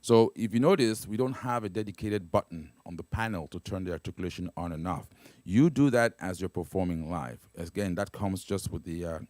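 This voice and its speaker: A man's voice: low voice, darkish tone, no room sound. A man casually demonstrating a keyboard's sounds, explaining step by step and often checking in with "right?" and "okay?". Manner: halting, with fillers and restarts. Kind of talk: casual instrument demo. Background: keyboard pads and guitar playing.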